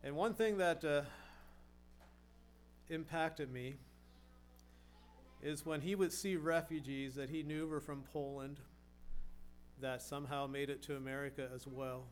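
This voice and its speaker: A middle-aged male preacher delivers a sermon in an earnest tone, posing rhetorical questions and repeating words as he builds his points. His voice sits a little higher than most men's, with a faint hum underneath. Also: steady tone, a little slow, pauses often.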